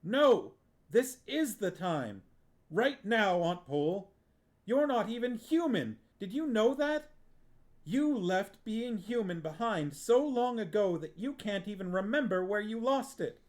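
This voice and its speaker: A man reading an audiobook, voicing a young man who is furious and hurt and shouts his anger. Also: high voice, big pitch swings, measured pace, hardly any pauses, voice pushed up.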